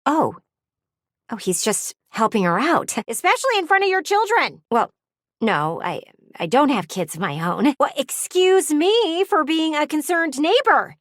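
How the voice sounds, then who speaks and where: Game role: meddling, easily offended talking-window lady. Highish, very animated, gushing, theatrical. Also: measured pace, dry recording, clean studio sound. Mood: condescending. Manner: theatrical, gushing, indignant, condescending. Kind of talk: animated video game character acting.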